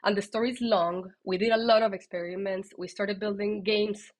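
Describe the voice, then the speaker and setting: A woman with a strong Colombian Spanish accent, a podcast guest telling her story in a lively, candid way. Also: medium pitch, moderate pace. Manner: lively and candid, with frequent fillers and restarts. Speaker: guest, tech executive.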